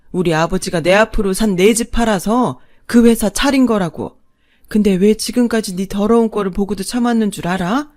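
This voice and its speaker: A woman's voice: mid-range voice, a little mellow, close to an everyday voice. A woman voicing a long-suffering older wife and mother, fierce, defiant and coarse-tongued, in a dramatised family story. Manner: blunt, biting, coarse-tongued.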